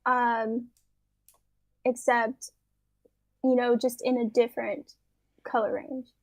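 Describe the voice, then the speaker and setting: Slow, high-voiced woman presenter. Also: little pitch movement, rough recording. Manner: hesitant, many ums. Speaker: workshop presenter. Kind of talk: casual presentation. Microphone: video call with dropouts.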